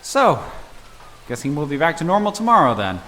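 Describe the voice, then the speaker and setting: A woman playing an excitable, flustered but plucky young technician in a fan audio drama. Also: deep voice, fairly quick. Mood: dry, relaxed.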